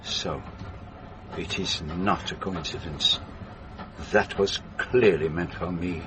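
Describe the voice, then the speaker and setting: An actor playing a courteous, methodical detective in a radio drama, with a French-speaking Belgian accent and formal, probing speech. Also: male voice, sits low, steady tone, slow pace, background noise. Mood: thoughtful, decisive.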